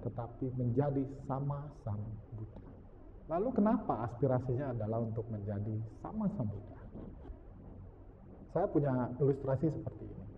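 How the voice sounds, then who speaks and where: A man giving a Buddhist dharma talk, lively, often asking his listeners questions and slipping in English phrases. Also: mid-range voice. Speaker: Buddhist teacher. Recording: tinny phone-like sound, long hall reverb.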